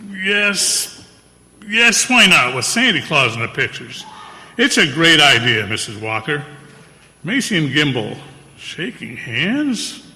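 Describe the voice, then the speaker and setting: A man playing an excitable, blustering department store boss in an old-time radio play, emphatic and sputtering. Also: a little high, slow, exaggerated voice. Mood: enthusiastic. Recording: reverberant hall.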